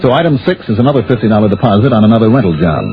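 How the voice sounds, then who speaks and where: An actor playing a cool, wry hardboiled insurance investigator, narrating and acting with dry sardonic delivery in a 1950s radio drama. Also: male voice, lowish voice, animated, racing. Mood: wry narration. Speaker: narrator and lead detective. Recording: very muffled old radio recording with music.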